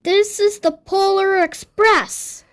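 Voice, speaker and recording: A woman's voice: very high-pitched, steady tone, takes it slowly, fairly bright tone, voice pushed up, exaggerated voice. A woman voicing a hearty, commanding male train conductor in a children's storybook, speaking in an emphatic, proclaiming way. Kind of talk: storybook character voice.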